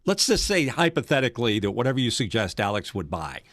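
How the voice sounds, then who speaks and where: A man's voice: medium pitch, very animated, quick pace, a bit bright, dry recording, clean studio sound. A man hosting a podcast, playful and a little rambling as he sets up a question. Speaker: podcast host. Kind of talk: casual podcast chat.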